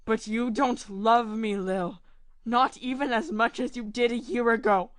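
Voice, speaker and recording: A woman's voice: on the high side, steady tone, unhurried, dry recording, lightly acted. A woman acting a lovesick, forlorn man in an audio play, pleading wistfully with his sweetheart. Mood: wistful, reproachful.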